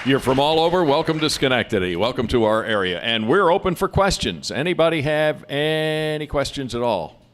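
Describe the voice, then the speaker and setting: A man's voice: mid-range voice, big pitch swings, normal speed, few pauses, a bit bright, a faint crowd. A man hosting an audience Q&A in a theater, rambling and restarting his questions. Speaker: host of a post-show audience talkback. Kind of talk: casual moderated Q&A.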